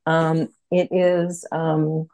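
Female book club host, lower-pitched with a soft dark tone, chatting casually. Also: flat delivery, drawn-out words. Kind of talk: casual group discussion.

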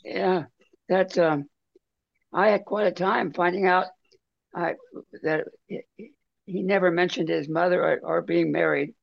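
A man talking casually in a book discussion on a video call, halting and rambling as he goes. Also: high-pitched, fairly even intonation, gravelly, no room sound. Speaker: book club member. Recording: dull lo-fi video call audio.

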